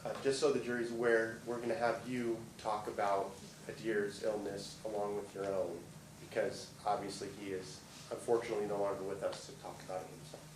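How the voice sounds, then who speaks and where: A man working as a trial attorney, questioning a witness politely and haltingly, with many fillers. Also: lowish voice, level tone. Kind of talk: direct examination of a witness. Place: courtroom. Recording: distant, roomy courtroom audio with noise.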